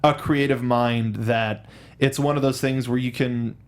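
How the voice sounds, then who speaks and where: A man co-hosting a movie review show in a casual, animated way, throwing in mock outbursts. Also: mid-range voice, a little slow. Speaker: co-host of a film review show. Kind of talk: casual film discussion.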